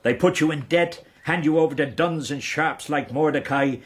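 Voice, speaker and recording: An actor playing a roguish, fawning Irish knight and debt-dodging fixer in a radio period drama, speaking with an Irish accent and theatrical flourishes. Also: male voice, a little high, moderate pace, close to an everyday voice. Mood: jaunty, cynical. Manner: theatrical, ingratiating, rhetorical flourishes.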